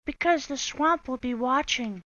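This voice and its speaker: A woman's voice: high-pitched, unhurried, a bit bright, highs cut off, voice pushed up. A woman voicing a bossy, witch-like substitute teacher with a scratchy voice, barking orders at a class in a storybook reading. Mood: menacing. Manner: barking, threatening.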